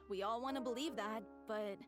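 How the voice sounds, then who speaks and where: Woman acting a wistful, high-voiced troupe member. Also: close to an everyday voice. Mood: resigned. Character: devoted, wistful female theater troupe member. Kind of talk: video game voice acting.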